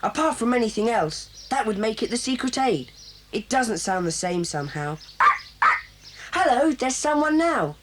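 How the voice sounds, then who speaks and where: A woman voicing a bossy young schoolboy who leads a children's club in an 1980s audio drama, lively and brisk. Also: a little high, background noise. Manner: brisk, bossy, takes charge.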